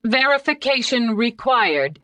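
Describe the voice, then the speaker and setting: A woman voicing an office computer that gives flat, mechanical replies. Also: on the high side, unhurried, no room sound, pitched above the performer's own voice. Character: stiff, clipped office computer.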